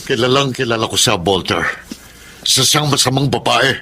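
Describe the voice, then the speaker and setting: Older male antagonist: scornful, wounded rich father in radio melodrama, forceful bright tone, some noise. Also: mid-range voice, normal speed. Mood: bitter, scornful. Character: wealthy middle-aged father, bitter and scornful jilted lover. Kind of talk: Tagalog radio-style melodrama acting.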